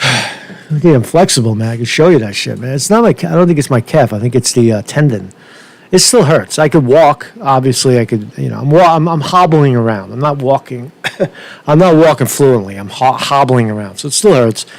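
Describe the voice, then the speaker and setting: A man's voice: mid-range voice, expressive, a little fast, vocal fry. A man giving casual, rambling news commentary as a host, swearing often, with slangy, repetitive and stumbling delivery. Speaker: host of his own news commentary show.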